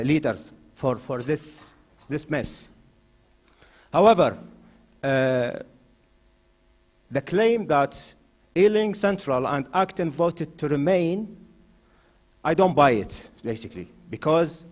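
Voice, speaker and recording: A man originally from Iraq, standing as a candidate and giving a forceful hustings speech in a mosque hall, with frequent "uh" fillers as he builds to emphatic points. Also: on the high side. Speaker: election candidate.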